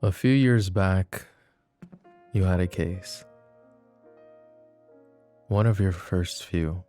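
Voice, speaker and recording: A man voicing a possessive, flirtatious mafia boss in an ASMR roleplay drama, with a low, soft, teasing drawl. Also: drawn-out words, faint music behind. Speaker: voice actor.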